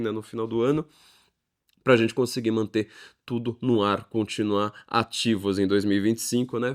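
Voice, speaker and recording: A man with a Brazilian Portuguese accent hosting a podcast in a casual monologue, wry and mock-pompous, often pausing on tag questions. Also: mid-range voice. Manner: wry, tongue-in-cheek, mock-pompous asides. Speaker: podcast host.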